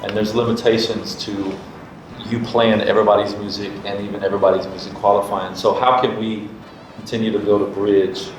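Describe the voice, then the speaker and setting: A man's voice: lowish voice, level tone, a little fast, noisy background. A man hosting a casual panel discussion and putting questions to a guest. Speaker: panel host asking questions. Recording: distant, roomy sound with music behind.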